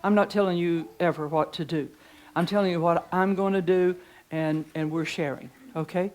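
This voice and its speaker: Older woman with a deep voice preaching. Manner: chatty and warm, reads hymn lyrics slowly and softly. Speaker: pastor teaching preachers. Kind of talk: informal preaching workshop talk. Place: conference room with seated audience.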